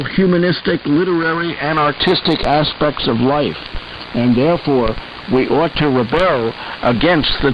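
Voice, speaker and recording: A man reading scripted expository prose in a measured, formal way, as a narrator of articles. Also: fairly high pitch. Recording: dull, hissy shortwave radio reception.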